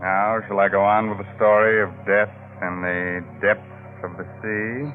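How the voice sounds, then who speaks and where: A man's voice: fairly low pitch, flat delivery, a little slow, faint music behind, lightly acted. An actor playing a sardonic, macabre male host of a 1940s radio mystery, teasing and theatrical. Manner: playfully ghoulish, teasing, theatrical. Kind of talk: 1940s radio horror hosting. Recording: muffled, narrow-band old radio recording.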